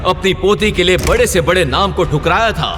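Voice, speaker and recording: A man's voice: sits high, normal speed. A man narrating a scripted audio drama in a dramatic storytelling style. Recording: long reverb, music behind.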